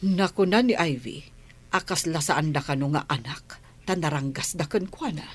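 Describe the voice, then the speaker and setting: A woman playing a suspicious, determined mother and lady of the house in a radio drama, with a husky voice and deliberate dramatic delivery. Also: sits low. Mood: suspicious, brooding. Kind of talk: Ilocano radio drama acting.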